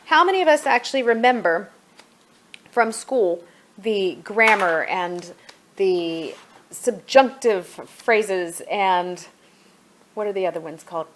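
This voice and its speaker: A woman's voice: medium pitch, lively intonation, takes it slowly, a bit bright. Chatty woman reviewing a product. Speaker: homeschooling mother reviewing a product. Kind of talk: casual product review chat.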